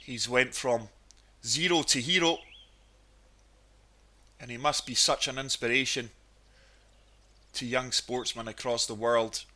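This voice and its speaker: A man's voice: mid-range voice, fairly even intonation, a little slow, long pauses, pushed and bright. A man with a cold, chatting enthusiastically through golf gameplay commentary. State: has a cold, sniffly. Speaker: gaming channel host.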